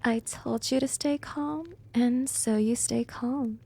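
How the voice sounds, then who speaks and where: A woman playing a smug, gently dominant female vampire in an intimate ASMR roleplay, speaking softly and often slipping into whispers, teasing and coaxing. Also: on the high side, takes it slowly, exaggerated voice. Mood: gloating, playful. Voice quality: soft, breathy, often dropping into whispers.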